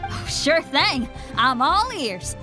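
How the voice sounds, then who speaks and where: A woman's voice: high-pitched, very animated, takes it slowly, over music, full character voice. An actress playing a confident, easygoing young woman musician in a video game, with a folksy, reassuring manner. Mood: relaxed, upbeat. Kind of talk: video game character acting.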